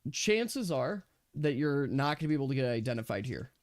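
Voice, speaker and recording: A man working as game master, narrating a tabletop game casually and thinking aloud in a halting way. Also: mid-range voice, big pitch swings, no room sound.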